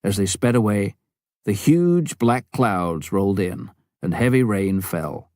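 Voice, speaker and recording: A man's voice: fairly low pitch, lively intonation, measured pace, a little mellow, no echo, clean studio sound. A man reading a memoir aloud as scripted audiobook narration.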